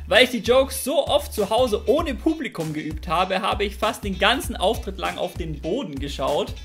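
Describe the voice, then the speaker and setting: A man's voice: sits high, very animated, moderate pace, creaky, fairly bright tone. Young guy vlogging at home, upbeat, talks right to the camera, faint music under it. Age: young adult. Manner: upbeat, direct address to viewers. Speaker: YouTuber narrating his stand-up attempts. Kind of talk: scripted vlog narration. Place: small room at home.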